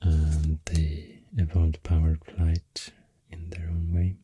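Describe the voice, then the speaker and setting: A man with a Swedish accent softly rambling through an ASMR explanation, breathy and often near a whisper, soothing and hesitant in manner. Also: deep voice, completely flat delivery, takes it slowly, slight background noise. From Sweden. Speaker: ASMR host.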